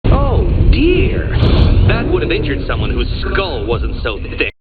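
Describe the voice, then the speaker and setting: Arrogant riddle-obsessed game villain: slow, savoured taunts, hugely animated intonation, condescending and pompous. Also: male voice, on the high side. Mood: exasperated, sneering. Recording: dull lo-fi sound under loud music.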